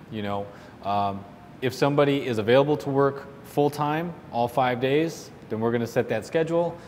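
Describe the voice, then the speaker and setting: Man, show host, casual unscripted advice, opinionated, explanatory, reverberant room, faint low rumble. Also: darkish tone. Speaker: host answering listener questions on salon business. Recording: roomy reverberant hall, low rumbling noise.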